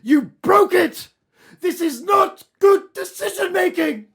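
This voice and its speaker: A woman's voice: extremely high pitch, lively intonation, slow, full character voice. A woman acting in an audio play as a strict, indignant middle-aged mother, scolding and sarcastic. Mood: furious. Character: strict, indignant mother of a runaway teenage daughter.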